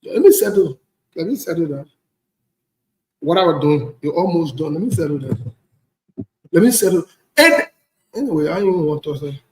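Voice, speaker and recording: A man's voice: fairly high pitch, expressive, normal speed, long pauses, slight background noise. Indignant West African man in an echoey room. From Ivory Coast. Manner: indignant, blunt, repeats phrases for emphasis. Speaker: online political commentator. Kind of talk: unscripted political rant.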